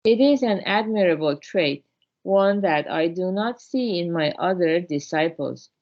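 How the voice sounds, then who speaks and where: A kind Buddhist master as a woman storyteller reads him teaches gently and encouragingly. The storybook delivery is very slow and creaky, and the sound is dull and lo-fi. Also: on the low side, expressive. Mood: encouraging, instructive.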